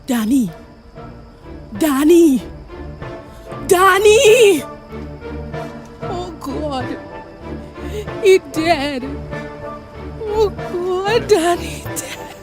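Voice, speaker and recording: A woman playing a nosy, melodramatic middle-aged neighbour with a Trinidadian accent in a radio play, bossy and quick to scold. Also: very high voice, animated, music in the background, full character voice. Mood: wailing, panicked. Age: 40s.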